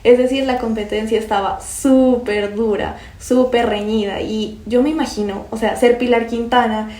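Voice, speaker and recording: Woman, Colombian Pasto accent, chatty, excited, slightly high, echoey room. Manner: excited, chatty, fillers like "o sea", "pues". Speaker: podcast co-host. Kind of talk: casual book-discussion podcast.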